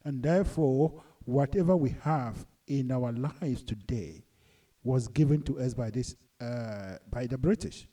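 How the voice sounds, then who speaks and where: A man's voice: medium pitch, warm tone, distant mic. Earnest Ghanaian man lecturing. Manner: earnest, deliberate, emphatic, rising on key points. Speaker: channel host and commentator. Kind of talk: lecturing monologue.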